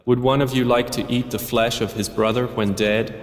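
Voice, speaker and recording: A man reading a verse translation in a slow, measured, solemn way. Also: mid-range voice, fairly even intonation. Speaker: translation narrator. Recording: echoey reverberant room.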